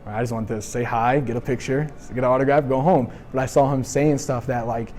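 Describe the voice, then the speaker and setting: Mellow-voiced teen guy from Philly. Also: medium pitch, fairly even intonation, a little hiss or hum. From Philadelphia. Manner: halting, many "like" and "right?" fillers. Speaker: athlete sharing testimony. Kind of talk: casual personal testimony.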